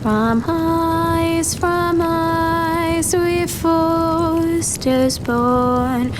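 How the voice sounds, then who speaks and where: High-voiced girl singing a lament. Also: exaggerated voice. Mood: mournful, vowing. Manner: slow, drawn-out, mournful singing. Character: mournful, fierce noble girl singing for dead twin. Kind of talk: sung folk lament in a fantasy game. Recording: loud musical accompaniment. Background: loud music.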